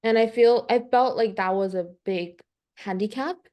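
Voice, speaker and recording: A woman's voice: a little high, moderate pace, darkish tone. A young woman from Korea who speaks English with a British accent tinged with Californian, thinking aloud as a podcast guest. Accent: British English with a slight Californian tinge. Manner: thoughtful, hedging with frequent "like" and restarts. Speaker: guest concept artist. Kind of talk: casual podcast conversation.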